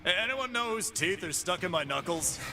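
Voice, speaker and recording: An actor voicing a cocky, brawling male fight-pit boss in game voice lines, full of gruff, boastful trash talk, with game music behind. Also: sits high, lively intonation, brisk, bright, forceful tone, heavily acted.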